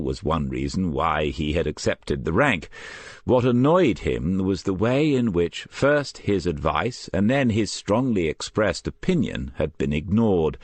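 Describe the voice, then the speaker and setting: A man narrating a crime novel as an audiobook, in a measured and composed storytelling manner. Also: sits low, no room sound. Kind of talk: scripted crime fiction narration.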